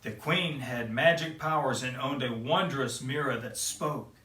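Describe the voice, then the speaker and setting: A man's voice: medium pitch, unhurried, pushed and bright, recorded from a distance. A man hosting a children's show, talking cheerfully straight to kids and then reading a picture book aloud in a sing-song way. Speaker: children's storyteller and host. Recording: echoey room, away from the mic.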